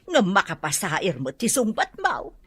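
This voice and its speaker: A woman's voice: medium pitch, wildly swooping pitch, a little slow, a bit bright, slightly rough, no room sound, full character voice. An actress with an Ilocano accent playing a patient older woman who admonishes young women in a radio drama. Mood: mildly scolding.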